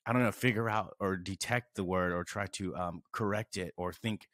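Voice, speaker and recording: A man's voice: low voice, moderate pace, dry recording. A man in his thirties hosting a podcast in a small studio, chatting casually and rambling animatedly. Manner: animated, rambling, reacts with wows. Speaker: podcast host. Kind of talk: casual interview chat. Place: studio with dark curtain. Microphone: studio mic on stand.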